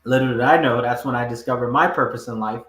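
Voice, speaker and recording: A man's voice: medium pitch, fast, fairly bright tone. Emphatic male storyteller in an echoey room. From Ghana, moved to the United States aged eight. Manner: emphatic, repetitive, often checks in with "right?". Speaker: podcast guest, entrepreneur. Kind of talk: conversational personal storytelling. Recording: roomy, echoing room sound.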